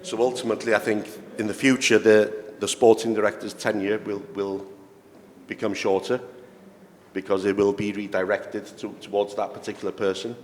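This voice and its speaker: A man speaking as a sporting director on a conference panel, measured and repeating words as he builds his points. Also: fairly low pitch, little pitch movement. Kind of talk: panel discussion. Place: conference hall. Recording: reverberant hall with faint noise.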